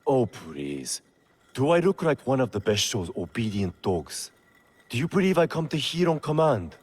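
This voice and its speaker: An actor playing a sly, mocking smuggler boss in a video game, with a sarcastic, theatrically polite way of talking. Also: male voice, medium pitch, very animated, normal speed, a little mellow, breathy edge. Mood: scornful, mocking. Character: sly, mocking male smuggler boss, self-serving and sardonic. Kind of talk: video game cutscene acting.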